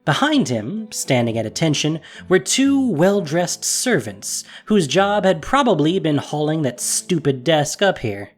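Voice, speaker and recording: An audio drama narrator tells a fantasy story with a lively, rising and falling delivery. The narration is scripted and expressive, with soft music underneath. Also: mid-range voice, measured pace, hardly any pauses.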